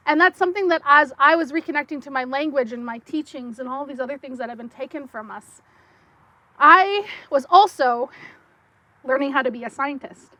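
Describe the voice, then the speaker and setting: A young woman speaking English with a slight French accent, giving an excitable conference talk with quick bursts and many fillers. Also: high voice, dry recording. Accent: French-tinged Canadian English. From Saskatchewan, Canada. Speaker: guest speaker.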